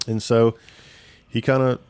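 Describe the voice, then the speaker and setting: A man co-hosting a casual podcast, telling stories in a quick, chatty way. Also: mid-range voice, flat delivery, noisy background. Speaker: podcast co-host.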